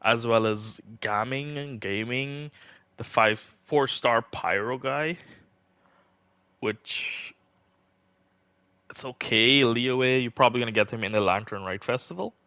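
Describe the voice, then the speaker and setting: Bright-voiced man, dry close mic. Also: medium pitch, takes it slowly, long pauses, no echo. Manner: rambling, informal. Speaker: game livestreamer. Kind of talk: casual livestream chat about game builds.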